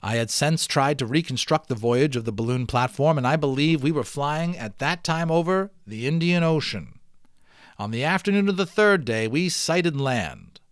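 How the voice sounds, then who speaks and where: A man reading a children's story aloud dramatically, with offhand, chatty asides in an expressive manner. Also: on the high side, moderate pace, dry recording, studio quality. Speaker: amateur audiobook reader.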